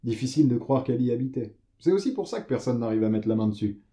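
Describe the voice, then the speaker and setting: Man playing very dark-voiced chatty screenwriter. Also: medium pitch, expressive, quick pace, lightly acted. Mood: musing. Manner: talkative, colloquial, hesitant. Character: chatty, jittery male screenwriter, politely hospitable.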